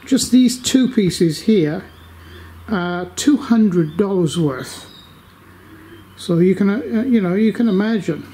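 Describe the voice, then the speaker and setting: An older man giving a casual update from his home workshop, talking slowly with lots of ums and restarts while holding the camera. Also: high-pitched, lively intonation, mellow, slightly rough. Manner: slow, rambling, many fillers. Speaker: workshop video maker.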